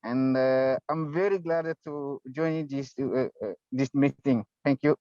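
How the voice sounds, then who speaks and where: A man whose first language is French, a PhD candidate, speaking careful, halting English. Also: mid-range voice, mellow, no echo. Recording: dull lo-fi video call audio.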